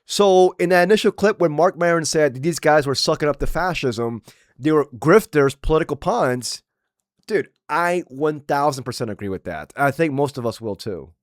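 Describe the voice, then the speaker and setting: Animated, blunt man, hoarse. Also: on the high side, moderate pace, no echo, clean studio sound. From Maryland. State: losing his voice. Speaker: commentary channel host. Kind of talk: casual political commentary.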